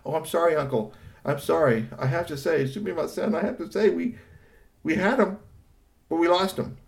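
A man's voice: fairly high pitch, moderate pace, creaky, voice pushed up. A man doing a stammering, apologetic young man.

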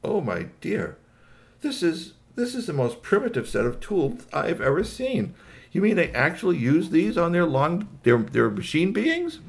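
A man voicing a curious, polite, childlike space alien who speaks in quaint, formal, halting phrases for a children's story. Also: fairly high pitch, huge pitch swings, creaky, voice pushed up, exaggerated voice. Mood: polite astonishment.

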